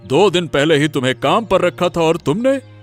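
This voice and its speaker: A man's voice: on the high side, lively intonation, normal speed, faint music behind, natural voice. A man voicing a stern village boss in a cartoon who judges others with reproachful authority. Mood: disappointed. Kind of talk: Hindi moral-story cartoon voice acting.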